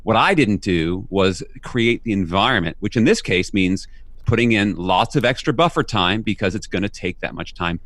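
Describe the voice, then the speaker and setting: Male author guest, lowish voice, articulate and explanatory, dry. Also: lively intonation, normal speed, fairly bright tone, dry recording. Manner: articulate, explanatory, uses "right?" tags. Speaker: guest, leadership coach and author. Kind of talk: interview answers.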